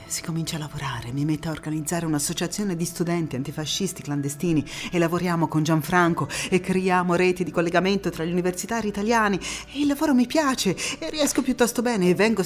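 A woman playing a low-voiced, impassioned young partisan. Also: natural voice. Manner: dramatic, emphatic delivery. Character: passionate young woman anti-fascist partisan recounting her war. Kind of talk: theatrical monologue storytelling.

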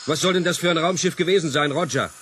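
A man's voice: fairly high pitch, fast, background noise, natural voice. A man playing an impatient, commanding spaceship captain in a science fiction radio play, brusque and barking orders. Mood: angry, incredulous.

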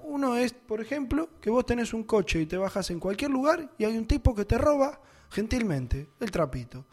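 A man with an Argentine accent, a guest on a radio chat, who tells lively stories and laughs often. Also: very high voice, normal speed. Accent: Argentine Spanish. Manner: animated anecdote-telling, laughs often. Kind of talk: casual storytelling in conversation.

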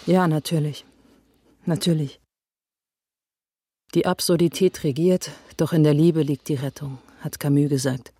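An actress playing a serious, guarded police investigator in a radio drama, speaking earnestly and reflectively. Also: female voice, deep voice, steady tone, unhurried, long pauses, mellow, slightly rough, no room sound. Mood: earnest, vulnerable.

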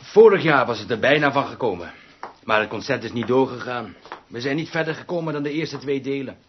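An actor playing an awkward, polite male musician in a radio play, speaking haltingly and apologetically. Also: medium pitch, natural voice. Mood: apologetic. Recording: dull, noisy radio drama recording with clicks.

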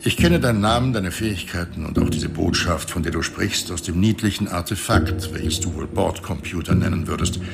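An actor playing a calm, condescending space station reanimator in a radio play, measured and wryly superior. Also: male voice, low-pitched, vocal fry, background noise. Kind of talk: science fiction radio play dialogue.

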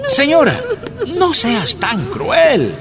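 A gravelly, curt police officer. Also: male voice, very high voice, wildly swooping pitch, extremely slow, heavily acted. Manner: curt, commanding. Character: brusque male police officer.

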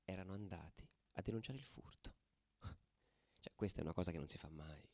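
A man's voice: low-pitched, fairly quick. A man acting as a combative football ultra in a radio play, telling his story in colloquial, dramatic style. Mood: tense recollection. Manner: colloquial, swinging from hushed to shouting. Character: angry, combative male football ultra recounting street battles. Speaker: actor narrating a monologue.

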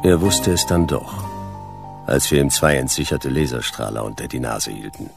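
An actor playing a hard-boiled, relentless private detective in a sci-fi radio drama, terse, stern and dry. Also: male voice, very deep voice, animated, moderate pace, vocal fry, over music, heavily acted. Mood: dry narrating. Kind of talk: radio drama acting and noir narration.

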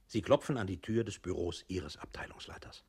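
An actor in an experimental radio play, voicing a methodical, matter-of-fact machine voice that states instructions, gravelly and husky. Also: male voice, low-pitched, animated, a little fast, a little mellow, exaggerated voice. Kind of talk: experimental German radio play, scripted recitation.

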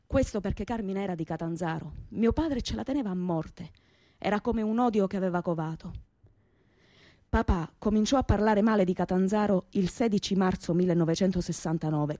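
A woman's voice: mid-range voice, mellow, no echo, clean studio sound, natural voice. An actress playing a fiery young working-class woman with a Calabrian southern Italian accent, telling her story colloquially and emphatically in a radio theatre monologue. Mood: earnest recounting.

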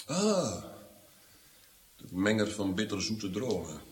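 An actor playing a brooding, guilt-haunted nobleman in a Dutch radio drama, theatrical, moving between hushed awe and agitation. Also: lowish voice, big pitch swings, slow, slight background noise. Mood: weary, sardonic.

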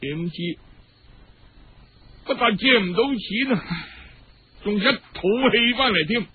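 A man's voice: high voice, lively intonation, slow, breathy edge, voice pushed up, heavily acted. A man playing a penniless, lovesick young man with a Cantonese accent, plaintive and faltering, in a told story. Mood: dejected, aggrieved. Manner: plaintive, sighing, falters over words. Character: hard-up, lovesick young man, sighing and aggrieved. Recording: dull lo-fi radio-style recording.

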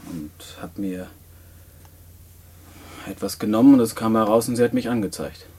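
Earnest young prisoner, husky, low voice, hesitant, polite, naive student, radio play. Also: male voice, normal speed, mellow. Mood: sheepish. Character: earnest, hard-up nineteen-year-old male student in prison. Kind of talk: post-war German radio play dialogue.

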